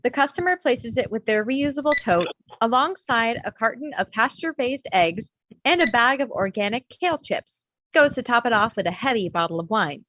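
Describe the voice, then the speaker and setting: Higher-pitched woman reading stage directions, dry sound. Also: animated, moderate pace, fairly bright tone, dry recording, rough recording. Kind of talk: scripted narration.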